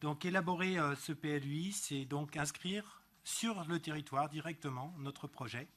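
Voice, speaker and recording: Deliberate, higher-pitched man giving a political speech. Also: fairly bright tone. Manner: deliberate, didactic, emphatic repetition. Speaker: local elected councillor. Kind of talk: prepared political speech at a council meeting. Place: council meeting.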